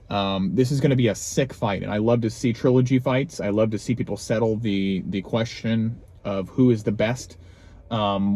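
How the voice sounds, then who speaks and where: A man's voice: fairly low pitch, warm tone. A young man hosting his own video, chatting casually outdoors in the snow, rambling with lots of ums and restarts. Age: young adult. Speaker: vlog host. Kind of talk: casual chat. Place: outdoors in snowy cold.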